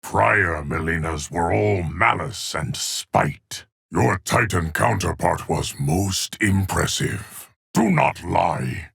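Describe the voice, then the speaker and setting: Game casting: an ageless, solemn keeper of time, low rumbling gravel, dry close sound, measured formal pronouncements. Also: male voice, a bit bright, dry recording, full character voice. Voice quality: deep, gravelly, husky. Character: solemn ageless immortal male guardian of time. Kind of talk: video game character voice lines.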